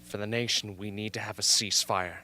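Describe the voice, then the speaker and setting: A man's voice: lowish voice, steady tone, fairly quick, sharp tone. A man playing an earnest, strained young captive pleading urgently in a radio drama. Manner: urgent, emphatic, insistent. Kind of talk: amateur radio drama acting.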